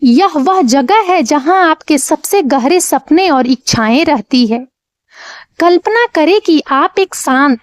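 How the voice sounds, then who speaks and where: A woman narrating a scripted guided meditation, with measured, soothing instructions. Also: high-pitched, no echo.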